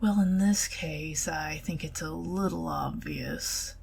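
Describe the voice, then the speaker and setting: A middle-aged woman with a Southern US accent, speaking softly and partly in whispers in an ASMR date roleplay. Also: deep voice, slow, vocal fry, slight background noise. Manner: intimate, soft-spoken, giggly, partly whispered.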